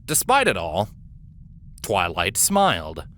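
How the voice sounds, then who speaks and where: A woman's voice: a bass voice, wildly swooping pitch, slow pace, a bit bright, slight background noise. A woman narrator reading a fan fiction story as scripted narration. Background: music.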